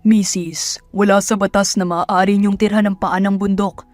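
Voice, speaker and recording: A woman's voice: medium pitch, little pitch movement, moderate pace, a little mellow, no echo, soft background music, lightly acted. A woman voicing a domineering, dismissive male mining boss in an audio drama. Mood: stern, dismissive. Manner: stern, dismissive. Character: stout, domineering male mining company man. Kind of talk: Tagalog audio drama dialogue.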